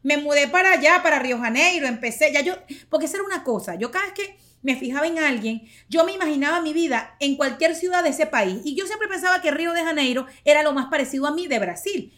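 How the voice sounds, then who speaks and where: A woman's voice: high-pitched, animated, fast, hardly any pauses, fairly bright tone. A woman in her forties hosting a podcast, telling stories in a chatty, playful way and laughing often. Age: 40s. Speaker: podcast host. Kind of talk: casual storytelling monologue.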